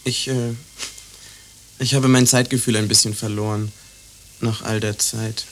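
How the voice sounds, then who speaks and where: A man's voice: fairly low pitch, little pitch movement, a little slow. An actor in a German radio play playing a moody young man who is brooding, volatile and insistent. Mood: wistful. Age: young adult. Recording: faint background noise.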